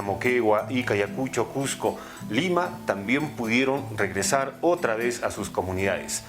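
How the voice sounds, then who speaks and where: A man, a radio administrator, presenting and explaining to an audience. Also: medium pitch. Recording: music bed behind voice.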